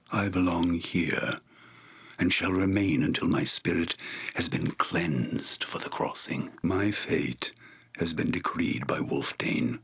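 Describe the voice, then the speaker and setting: Weary exiled shaman for a fantasy game, super deep n husky, grave and slow-talking. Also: fairly bright tone, full character voice. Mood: grim resignation. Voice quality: gravelly, husky, breathy at phrase ends. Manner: grave, deliberate, formal archaic phrasing. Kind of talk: dramatic fantasy game voice acting.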